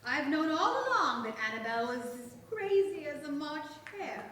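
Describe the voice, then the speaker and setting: A woman's voice: sits high, very animated, normal speed, bright, forceful tone, exaggerated voice. A woman playing a haughty, self-righteous cousin in a staged radio play, speaking in a disdainful, pompous way. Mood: haughty, accusing. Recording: noisy echoey hall, distant pickup.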